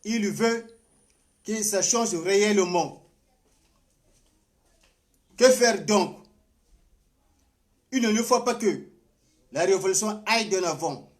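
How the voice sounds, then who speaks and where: A man with a Congolese accent giving a political address in a halting, deliberate manner. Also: sits high. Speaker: political speaker.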